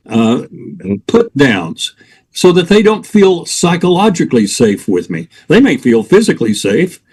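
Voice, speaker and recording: An older man, a guest on a talk program, explaining his approach in a measured, deliberate way, listing points one by one. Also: a little high, a little mellow. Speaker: guest expert on interventions. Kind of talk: radio talk show interview.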